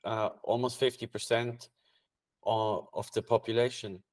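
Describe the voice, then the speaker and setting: A man's voice: on the low side, steady tone, measured pace. Swiss man with a Swiss German accent. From Switzerland. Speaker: trader and guest. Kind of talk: casual interview chat.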